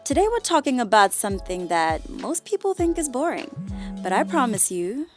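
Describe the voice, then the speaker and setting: A woman playing a practical young vlogger and niece in a radio drama, with a Botswana accent and an expressive, code-switching delivery. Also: on the high side, moderate pace, over music. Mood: upbeat. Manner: expressive, switches between Setswana and English.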